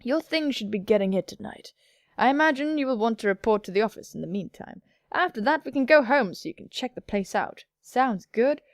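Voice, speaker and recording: A woman voicing an eager, friendly young male vampire in a game, chatty, smooth and insistent. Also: on the high side, expressive, a little fast, dry recording, studio quality. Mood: upbeat.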